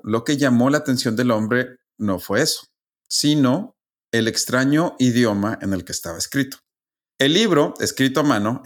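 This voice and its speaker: Mexican man telling a story casually. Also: medium pitch. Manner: conversational, explains with asides and tag questions. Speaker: podcast host.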